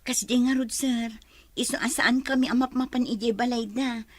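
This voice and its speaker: A woman's voice: fairly high pitch, steady tone, normal speed, dry recording, clean studio sound, close to an everyday voice. A woman playing a blunt, gossipy village mother in a radio drama, chatty and outspoken. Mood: gossipy, wary.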